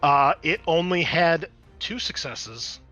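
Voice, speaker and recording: A man narrating and refereeing a tabletop roleplaying game as game master, in an informal, excitable way. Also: fairly high pitch, slow, highs cut off, faint music behind.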